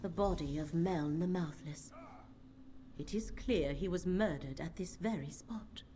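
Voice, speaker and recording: A woman playing a solemn, cryptic seer in a video game, speaking in a measured, formal and earnest way. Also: fairly low pitch, dark tone, slight background noise, natural voice. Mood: resolute. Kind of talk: video game character acting.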